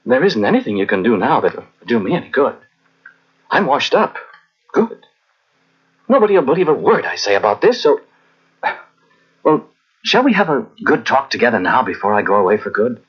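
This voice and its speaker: A man's voice: medium pitch, big pitch swings, moderate pace, pauses often, husky. An actor playing a meek, resigned man who hesitates and stammers, in an old-time radio drama. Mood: resigned, tender. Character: meek, flustered, resigned man befriending a machine. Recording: muffled old radio recording from cassette.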